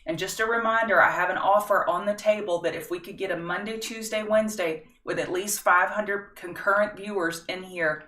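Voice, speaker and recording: A woman's voice: mid-range voice, steady tone, brisk, few pauses, a bit bright, far from the mic. A middle-aged woman hosts a live stock market stream, chatting with viewers in a folksy way. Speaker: stock market livestream host. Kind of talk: casual market analysis livestream. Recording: roomy echo, set back from the mic.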